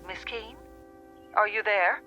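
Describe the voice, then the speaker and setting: An actress playing a polite, efficient, patronising telephone operator in a radio drama, measured and placating. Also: female voice, mid-range voice, fairly even intonation, sharp tone, highs cut off, soft background music. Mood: firm, uneasy.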